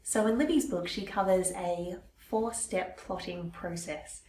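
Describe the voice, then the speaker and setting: A young woman talks through her writing plans, her nose stuffy from a cold. Her voice sits fairly low and stays chatty as she explains each step. The room is echoey, with a faint hum behind her. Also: measured pace, dark tone. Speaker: vlogger, aspiring fiction author. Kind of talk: casual vlog talk to camera.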